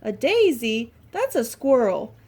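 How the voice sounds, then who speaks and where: Woman doing an excitable little squirrel. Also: a little high, slow pace, husky, full character voice. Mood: excited. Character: quick excitable male squirrel.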